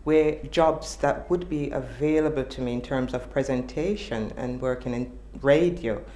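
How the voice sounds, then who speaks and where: A woman with a Jamaican accent answering interview questions in a measured, thoughtful way. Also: extremely low pitch, warm tone. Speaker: journalism student interviewee. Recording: echoey room with faint noise.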